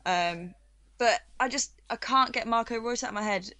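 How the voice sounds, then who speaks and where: Female football podcast guest, casual chat, bright tone, dry close sound. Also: fairly high pitch, moderate pace, no room sound.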